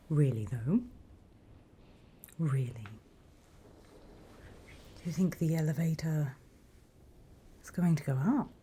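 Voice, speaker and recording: A woman voicing a sly, mocking demon in an ASMR roleplay, with a British accent and a breathy, husky voice that often drops to a whisper. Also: low-pitched, dark tone, exaggerated voice. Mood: amused, teasing. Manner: teasing, condescending, unhurried. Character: sly, mocking female demon who trades in purchased souls.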